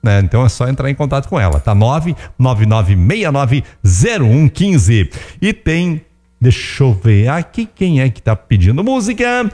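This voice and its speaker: A man's voice: mid-range voice, sing-song, a little slow, dark tone. A middle-aged man, hosting a casual radio show with a slightly strained voice, always checking in with his listeners. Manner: chatty, keeps tagging questions to listeners. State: voice strained, close to giving out. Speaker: radio host. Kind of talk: casual radio chat with ads and song requests.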